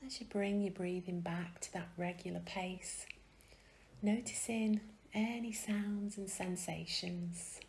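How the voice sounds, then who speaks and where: Slow, soft-spoken woman guiding a meditation. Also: lowish voice, steady tone, vocal fry, slight background noise. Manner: soothing, gentle, unhurried with long pauses. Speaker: meditation guide. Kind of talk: guided meditation.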